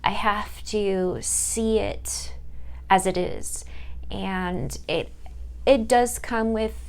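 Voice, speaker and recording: A young woman whose first language is Italian, giving a reflective personal monologue for a class, halting often to search for words. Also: mid-range voice, steady tone, gravelly, smooth. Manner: halting, searching for words with many fillers and restarts. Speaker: student.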